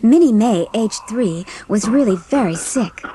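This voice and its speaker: A woman narrator reading a scripted storybook in a measured, expressive way. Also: fairly high pitch, a little hiss or hum, rough recording.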